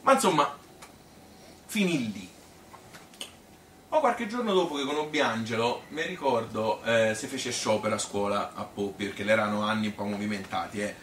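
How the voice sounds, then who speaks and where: A man's voice: fairly low pitch, normal speed, a bit bright, lightly acted. A man playing a chatty, self-amused Tuscan woman who rambles through her courtship memories in a folksy theatrical monologue. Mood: amused, nostalgic. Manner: rambling, folksy, filler-laden. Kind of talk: theatrical monologue, colloquial storytelling. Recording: echoey room, distant mic, hissy noise.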